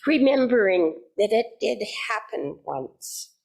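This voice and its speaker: A woman's voice: medium pitch, very animated, takes it slowly, vocal fry, a little mellow. A woman reading a poem aloud in a church service as a lay reader. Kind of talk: slow poetry reading.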